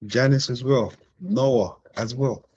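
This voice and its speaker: Husky laid-back man hosting a stream. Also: mid-range voice, slow pace. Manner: laid-back, repeats phrases, slangy shout-outs. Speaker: host of a live-streamed panel. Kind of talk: casual chat, greeting viewers. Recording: dull, lo-fi stream audio.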